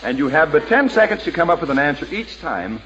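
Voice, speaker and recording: A man's voice: fairly high pitch, big pitch swings, quick pace, fairly bright tone, dull audio. Jovial 1940s radio quiz host, man. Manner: jovial, teasing, bantering. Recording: old radio broadcast with faint hiss.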